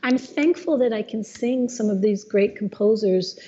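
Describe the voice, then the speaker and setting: A middle-aged woman who sings jazz talks about her craft in a relaxed, thoughtful way, often pausing and restarting her sentences. Her voice is mellow and warm rather than bright. The recording is a little dull and lo-fi. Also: mid-range voice. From Los Angeles, USA. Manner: thoughtful, many restarts and fillers. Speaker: jazz singer, guest. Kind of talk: casual conversation.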